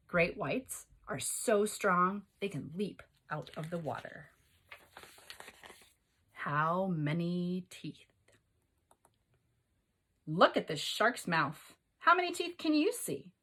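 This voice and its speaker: Expressive woman teacher with a husky voice. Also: on the low side. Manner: instructional, addresses listeners with questions and prompts. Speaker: teacher reading a children's book aloud. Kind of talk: expressive read-aloud and lesson for young children.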